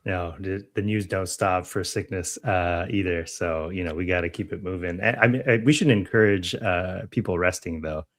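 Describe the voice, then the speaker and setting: A man's voice: low-pitched, normal speed, few pauses, a bit bright. A man co-hosting a local news podcast in casual chat, hesitant and often restarting, stumbling over names. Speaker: podcast co-host.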